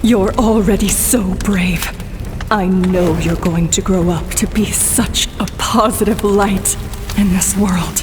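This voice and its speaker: A woman playing a loving, brave mother in a video game drama, speaking with emotional, earnest, drawn-out phrasing. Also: mid-range voice, steady tone, lightly acted. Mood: tender, resolute. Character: loving, brave mother protecting her young daughter. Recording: reverberant, music bed behind.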